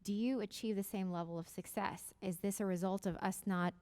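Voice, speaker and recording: A woman's voice: medium pitch, steady tone, fairly quick, dark tone. A woman board member asking friendly, inquisitive questions with a light, joking touch.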